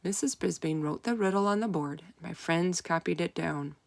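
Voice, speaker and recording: A woman reads a children's chapter book aloud with expressive emphasis. Her voice sits a little low and has a touch of creak, recorded close and dry. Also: normal speed, dry recording. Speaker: storyteller reading aloud. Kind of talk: expressive children's read-aloud narration in first person.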